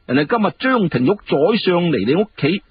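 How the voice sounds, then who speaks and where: Man putting on a brash, high-pitched young nobleman. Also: very animated, a little fast, breathy edge, muffled recording, full character voice. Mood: brash, boastful.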